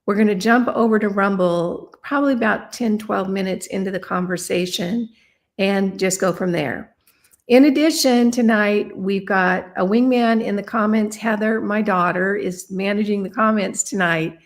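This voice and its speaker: A woman's voice: mid-range voice, moderate pace. Woman, livestream host, friendly, conversational. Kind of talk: livestream interview.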